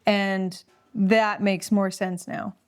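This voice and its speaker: A woman's voice: medium pitch, little pitch movement, slow pace, smooth, faint music behind. A woman co-hosting a casual book chat, retelling and discussing a story in an informal, plain-spoken way. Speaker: podcast co-host.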